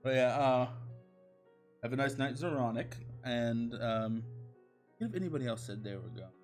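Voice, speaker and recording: A man's voice: medium pitch, moderate pace, faint music behind. A man livestreaming a comic story reading, chatting casually with his viewers in an irreverent, sweary manner. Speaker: streamer, fan fiction reader.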